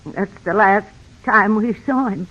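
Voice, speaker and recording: A woman's voice: mid-range voice, slow, pushed and bright. A woman playing a homely, plaintive old homesteader mother in a radio drama. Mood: grieving. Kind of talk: old-time western radio drama acting. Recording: dull vintage radio broadcast recording.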